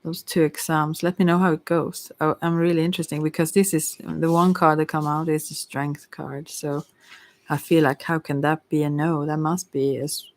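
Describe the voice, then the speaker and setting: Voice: mid-range voice, level tone, moderate pace, no echo. Hesitant ASMR tarot reader, close and dry. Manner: hesitant, rambling, often trailing off mid-thought. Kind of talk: ASMR livestream, casual spiritual advice.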